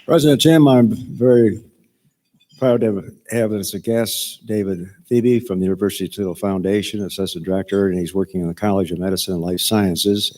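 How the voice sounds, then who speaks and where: A man's voice: low voice, mellow, slight background noise. A man formally introducing a guest at a club meeting.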